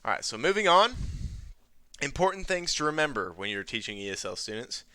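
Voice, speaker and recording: A man's voice: a little high, sing-song, fairly quick, bright, forceful tone, clean tone, no echo. A man presenting an educational tutorial in an informal, conversational way, often dropping in "you know". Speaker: presenter of an educational video on teaching ESL. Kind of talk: casual tutorial talk over a screen demonstration.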